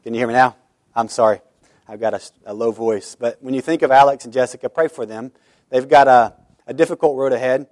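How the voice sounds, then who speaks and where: A man's voice: medium pitch, fairly even intonation, a little fast, darkish tone, dry recording. A man pastor giving informal church announcements and prayer requests, earnest and full of "uh" fillers. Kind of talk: church announcements before sermon. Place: church sanctuary.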